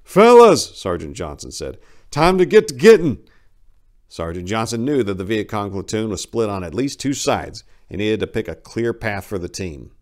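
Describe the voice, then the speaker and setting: A man's voice: fairly low pitch, expressive, moderate pace, hardly any pauses. A man narrating a short story as a dramatic reading, as its host.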